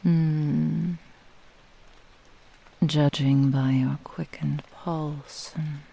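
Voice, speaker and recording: A woman voicing a proud, possessive, seductive fox-spirit witch in a breathy whisper, with a grandiose, intimate manner, for an ASMR roleplay. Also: low-pitched, fairly even intonation, warm tone, a little hiss or hum, heavily acted, rough recording. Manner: slow, lingering, grandiose, intimate.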